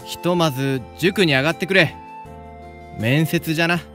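Senior samurai student, self-assured and kindly, Choshu dialect, highish male voice, soft music behind male actor. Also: normal speed, natural voice. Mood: affable. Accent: Yamaguchi (Choshu). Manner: old-fashioned, breezy authority. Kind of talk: anime-style voice drama.

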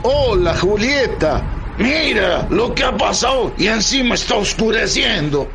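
A grumbling Argentine family father played by a man in a radio play. His voice is high and creaky, full of exclamations, with music behind it. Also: animated, a little slow, exaggerated voice. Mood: alarmed. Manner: exclamatory, punctuating with "ma". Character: grumbling, excitable family father of Italian-immigrant flavor.

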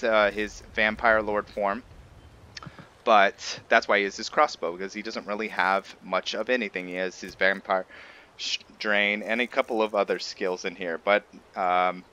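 A male let's play host with a fairly low, forceful, bright voice talks casually through his game. He hesitates and restates things as he goes. Music plays behind him. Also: highs cut off. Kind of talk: casual gameplay commentary.

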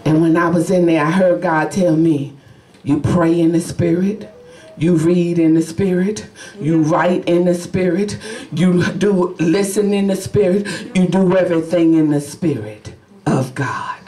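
A woman's voice: fairly low pitch, a little slow, mellow. A woman evangelist in her thirties preaching a sermon from a pulpit, fervent and full of hallelujahs. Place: small church sanctuary, at a pulpit. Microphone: podium mic, filmed by a far camera. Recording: roomy church sound from a distance.

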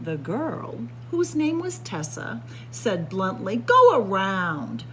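A woman reading a picture book aloud as a storyteller, in a theatrical, deliberate way. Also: mid-range voice, big pitch swings, a little mellow, slight background noise, poor audio. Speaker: library storyteller. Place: indoor library room with bookshelves.

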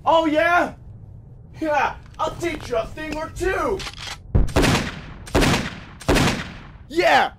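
A man's voice: extremely high pitch, slow pace, creaky, very noisy, full character voice. A man playing a petty, self-righteous, whiny army private in an audio drama, fussy and indignant. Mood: angry, taunting. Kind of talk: radio drama acting.

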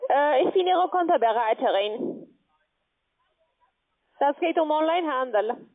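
High-pitched woman on a tinny phone. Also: animated, unhurried. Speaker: call centre sales agent. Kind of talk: phone sales call.

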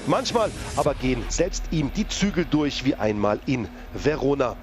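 A man reading a scripted sports news voice-over in a lively, emphatic reporting tone. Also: mid-range voice, moderate pace, background noise. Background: background music.

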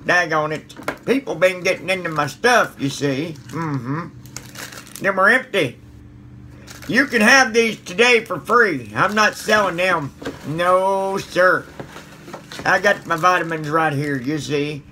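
A man's voice: high voice, takes it slowly, bright, forceful tone, slight background noise, full character voice. A man playing a cranky, folksy old man who stammers and mutters mild curses at his viewers. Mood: grumbling. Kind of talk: comedic character monologue to viewers.

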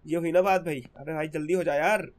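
A hoarse young man with a sore throat, chatting casually as he narrates his gameplay to his viewers. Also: fairly high pitch, fairly even intonation, brisk, slight background noise. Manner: chatty, keeps calling viewers brother. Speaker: gaming channel host.

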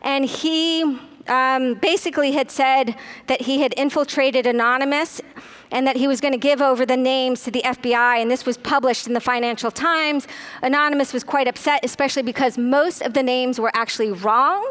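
A middle-aged woman giving a conference keynote lecture on a hall stage, telling the story briskly with frequent ums and uhs. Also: high voice, steady tone, a bit bright. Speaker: keynote speaker.

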